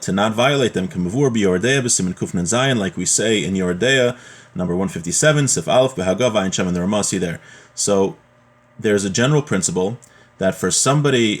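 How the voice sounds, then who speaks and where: A man giving an informal religious lesson, reading from a text and explaining it point by point with rhetorical questions. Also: on the low side. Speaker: religion teacher giving a class.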